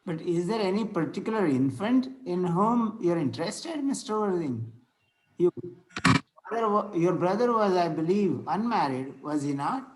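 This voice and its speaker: Guy doing a victorian vicar, high pitched voice, formal n preachy, bit halting, stage play read. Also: sing-song, darkish tone, low-quality recording. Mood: courteous, earnest. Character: courteous, earnest Victorian clergyman, solemn and long-winded. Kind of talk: amateur play table read.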